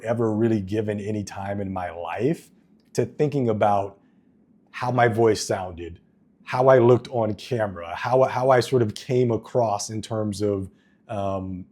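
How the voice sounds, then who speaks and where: A man with a deep voice talking earnestly to camera in a casual vlog, with plenty of ums and restarts, recorded indoors on a wireless microphone. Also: warm tone.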